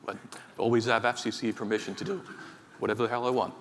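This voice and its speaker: Man, from Finland, conference talk, halting with many fillers, long reverb of a big hall, faint ambience. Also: on the low side, steady tone, a bit bright, slight background noise. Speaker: conference speaker, associate professor.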